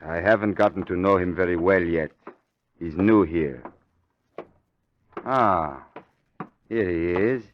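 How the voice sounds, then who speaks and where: An actor playing an eerie, placid undertaker in an old radio mystery, courteous and morbidly serene. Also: male voice, low-pitched, slow pace, pauses often, a little hiss or hum. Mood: calm, creepy. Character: eerie, placid male undertaker fond of the dead. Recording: dull old radio recording.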